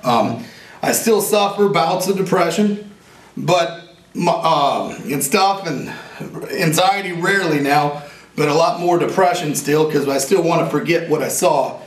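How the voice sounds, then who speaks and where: A man in his forties with a raspy voice, an inventor talking in a casual, rambling and earnest way, sometimes joking about himself. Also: on the high side, unhurried. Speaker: inventor and veteran interviewee. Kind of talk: casual interview talk. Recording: roomy echo, from a distance.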